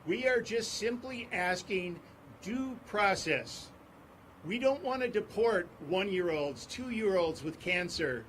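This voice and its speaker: A man speaking at an outdoor press conference on court steps, in a forceful, repetitive rally cadence. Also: high-pitched, slight background noise, poor audio. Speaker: advocacy group speaker. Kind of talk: impassioned press conference speech.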